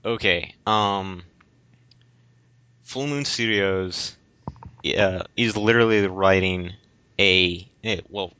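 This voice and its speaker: A man in a casual discussion about games, explaining things in a halting, rambling way as a podcast host. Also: low voice, pushed and bright, clean tone. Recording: dull lo-fi recording.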